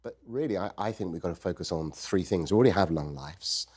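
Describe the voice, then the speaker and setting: A man answering in an interview clip, explaining his points in a brisk, matter-of-fact way. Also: low voice, lively intonation, a little mellow, husky.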